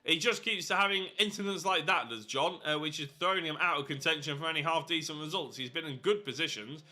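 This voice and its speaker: A man's voice: fairly high pitch, a little fast, bright, forceful tone. A young man giving live sim racing commentary, congested and nasal from hay fever, chatty and often correcting himself. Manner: chatty, self-correcting, apologetic asides.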